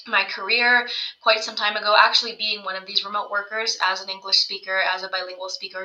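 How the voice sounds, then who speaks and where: Very bright-voiced woman presenting. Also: medium pitch, highs cut off. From United States. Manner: conversational, frequent "right?" tag questions. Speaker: company co-founder presenting her firm. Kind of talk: casual business presentation over slides.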